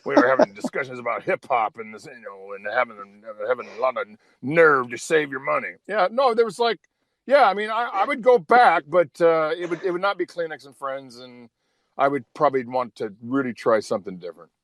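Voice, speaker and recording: Male talk-show guest, 50s, rambling and profane, lively swings in pitch. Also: fairly quick, creaky, dry recording. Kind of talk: casual, candid chat.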